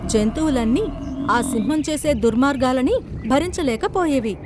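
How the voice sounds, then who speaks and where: A woman's voice: high-pitched, lively intonation, moderate pace, a little mellow. A woman reading scripted narration for a children's story.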